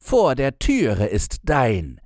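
Man doing a high, scornful, gruff brother. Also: huge pitch swings, drawn-out words, darkish tone, no echo, studio quality, exaggerated voice. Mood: scornful, indignant. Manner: indignant, dismissive. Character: gruff, scornful brothers turning a soldier away.

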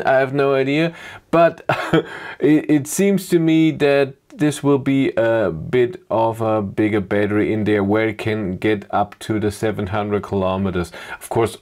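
Middle-aged German-accented vlogger, talks loosely with many "uh"s. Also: male voice, fairly low pitch, darkish tone. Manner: thinking aloud with fillers and restarts. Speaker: YouTube car reviewer. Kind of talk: casual commentary over slides. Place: small dim indoor room.

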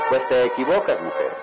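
A man's voice: mid-range voice, low-quality recording. An actor playing a proud, jovial middle-aged father in a radionovela, with a declamatory manner. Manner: chuckling, declamatory patriarch. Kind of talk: radionovela acting.

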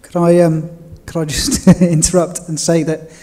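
A man co-presenting, cutting in with a quick, chatty aside. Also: a little high, little pitch movement, warm tone. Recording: echoey lecture hall.

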